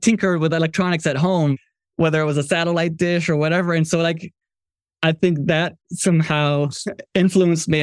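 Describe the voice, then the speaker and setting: A man from Morocco talking conversationally as a podcast guest, telling his own story as a software entrepreneur. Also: fairly high pitch, no room sound, studio quality.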